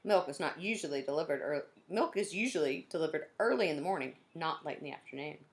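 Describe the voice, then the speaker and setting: A woman voicing a calm, sharp-eyed young girl detective in a read-aloud storybook, pitching her voice up for the part. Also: quick pace. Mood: eager.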